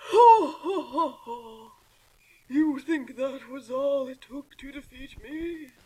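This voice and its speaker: A woman voicing a smug, theatrical male villain, an evil talking dictionary, in drawn-out gloating speech. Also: sits high, animated, warm tone, poor audio.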